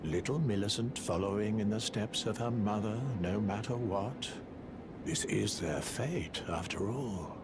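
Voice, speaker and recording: A man's voice: on the low side, unhurried, very noisy. A man playing a fawning, scheming scholar in a video game, obsequious and theatrical, with hesitant politeness and sinister devotion. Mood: musing. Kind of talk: video game character acting.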